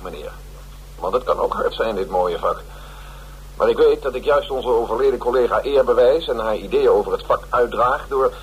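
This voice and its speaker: An actor playing a pompous, self-pitying older theatre director in a radio drama, speechifying. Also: male voice, medium pitch, a little hiss or hum, lightly acted, low-quality recording.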